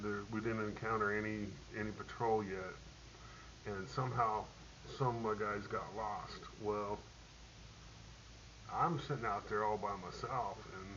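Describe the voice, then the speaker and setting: A middle-aged man, a military veteran, telling a rambling personal war story, halting with many ums and restarts. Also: fairly low pitch, a bit bright. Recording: dull lo-fi audio with faint hiss.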